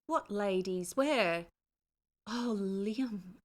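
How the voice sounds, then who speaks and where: A woman's voice: mid-range voice, drawn-out words. A woman reading aloud voices a frantic Irish peasant widow whose child has gone missing, with pleading, rising cries in a light Irish lilt. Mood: distraught.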